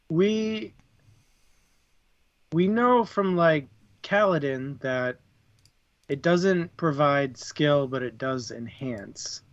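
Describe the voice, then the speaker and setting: Slow-talking man, slightly dull recording. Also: a little high, no room sound. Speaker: book club podcast co-host. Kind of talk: casual book discussion.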